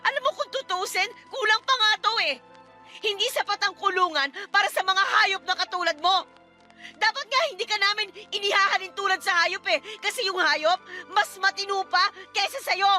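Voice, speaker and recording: A woman's voice: very high-pitched, normal speed, sharp tone, heavily acted. A woman playing a defiant, scornful young housemaid bent on revenge in an emotionally charged radio drama. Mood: furious. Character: bitter, vengeful young housemaid confronting her abusive stepfather. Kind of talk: Tagalog radio drama acting. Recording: music bed under the voice.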